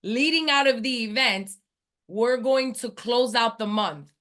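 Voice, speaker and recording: A woman's voice: a little high, measured pace, a bit bright. A woman hosting an online training call, talking with enthusiasm and building hype in an emphatic way.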